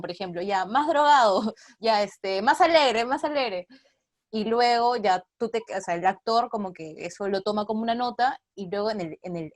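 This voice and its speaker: A woman's voice: mid-range voice, no echo. A woman, an actress giving an interview in casual explanatory talk, often checking in with short tag questions. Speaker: radio theatre actress being interviewed.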